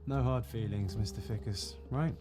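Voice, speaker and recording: A man's voice: lowish voice, normal speed, music in the background, close to an everyday voice. An actor playing a sarcastic, impatient male sidekick in a video game, speaking in a teasing manner.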